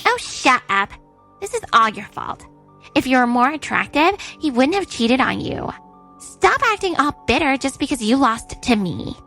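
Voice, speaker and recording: A woman's voice: sits high, very animated, moderate pace, sharp tone, soft background music, exaggerated voice. A woman voicing a smug, spiteful young mistress in a dubbed manga drama. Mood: spiteful, accusing.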